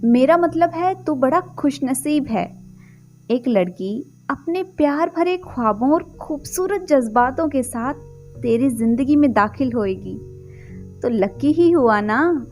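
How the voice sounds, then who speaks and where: Woman voicing a high-pitched wistful rich young man. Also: animated, takes it slowly, a little mellow, faint music behind. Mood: teasing, envious. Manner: casual buddy talk, sighing and wistful.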